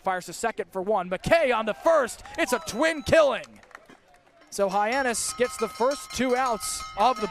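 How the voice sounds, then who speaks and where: High-pitched man calling baseball play-by-play. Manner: punchy clipped calls that build to drawn-out shouts on big plays. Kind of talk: live radio sports play-by-play commentary.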